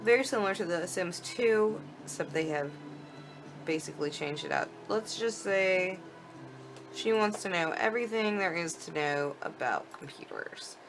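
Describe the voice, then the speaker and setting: Low-pitched woman narrating a game demo. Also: normal speed, faint music behind, low-quality recording. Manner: informal, thinking aloud while clicking through. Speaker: gaming video host. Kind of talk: casual game demo commentary.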